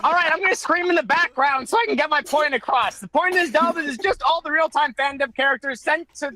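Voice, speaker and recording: A woman's voice: sits high, fast talker, pushed and bright. A woman improvising comedic dub commentary as a fandub performer, with a mock-dramatic, sardonic manner. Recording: noisy live group stream, clicks.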